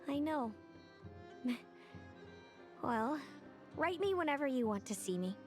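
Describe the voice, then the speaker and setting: Gentle prophetic young sister, game story role, fairly high measured voice over clear music. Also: female voice, very animated, heavily acted. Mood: tender, composed. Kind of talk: video game story dialogue.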